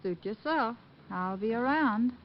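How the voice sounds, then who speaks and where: High, lively hard-boiled dame. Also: female voice, a little slow. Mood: wry, flirty. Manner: cool, offhand, slangy. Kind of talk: old-time radio acting. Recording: dull noisy old radio recording.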